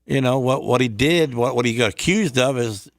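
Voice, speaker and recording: A man's voice: mid-range voice, moderate pace, no echo. A man chatting casually as a co-host on a talk show. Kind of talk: casual chat.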